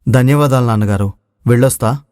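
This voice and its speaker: A man acts out a young prince in a storybook drama. He is earnest, respectful and eager, with a medium-pitched voice and a dry recording. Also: quick pace, a little mellow, no echo, close to an everyday voice. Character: dutiful, eager young prince seeking adventure. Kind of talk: dramatized moral story dialogue.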